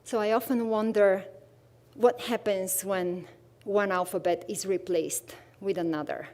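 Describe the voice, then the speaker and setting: A woman from Bulgaria speaks English with a clear Bulgarian accent. Her voice is mellow and warm, and her delivery is unhurried. She is thoughtful and soft-spoken as she presents her art. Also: mid-range voice, steady tone. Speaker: artist presenting her work. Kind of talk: livestream artist talk.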